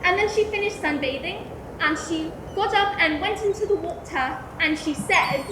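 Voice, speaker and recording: A woman playing a literal-minded teenage boy who speaks flatly and exactly, stammering when pressed. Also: very high voice, moderate pace. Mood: recounting. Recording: echoey room with background noise.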